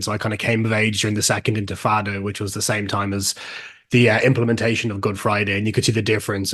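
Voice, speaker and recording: A middle-aged man with an Irish accent, answering interview questions as a guest in a measured, explanatory way with some fillers and restarts. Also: lowish voice, a bit bright. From Ireland. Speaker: guest, peace organization director.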